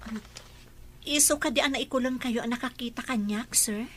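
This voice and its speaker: A woman's voice: a little high, normal speed, a bit bright. A woman playing an anxious, deferential young Filipina household helper in an Ilocano drama, polite and hesitant. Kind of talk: Ilocano radio-style drama acting.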